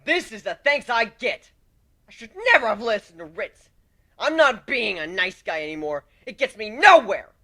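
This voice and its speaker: A man's voice: extremely high pitch, sharp tone, pitched above the performer's own voice, heavily acted. A man voicing a wounded, vengeful but fragile male griffin warrior in a theatrical dramatic story reading. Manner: intense, theatrical, swinging into brooding.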